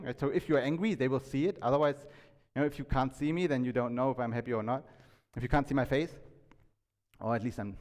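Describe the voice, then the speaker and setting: A man's voice: medium pitch, darkish tone. Man presenting in an echoey hall. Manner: halting, restarts sentences repeatedly; conversational, asks the audience questions. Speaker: conference speaker. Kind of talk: informal tech talk presentation to an audience. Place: indoor talk venue, reverberant room. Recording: echoey hall with long reverb.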